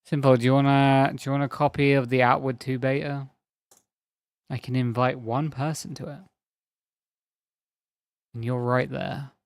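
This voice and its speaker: A man's voice: medium pitch, level tone, normal speed, long pauses, no echo. A man streaming a game, chatting casually and rambling to his viewers, his voice a little strained as if he is losing it. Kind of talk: casual live-stream chat while gaming.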